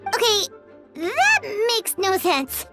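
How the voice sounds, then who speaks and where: A woman voicing a tiny childlike fairy companion in an anime game, squeaky, excitable and exclamatory. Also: slow, loud music behind the voice, heavily acted. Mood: excited. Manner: exclamatory, speaks of self in third person.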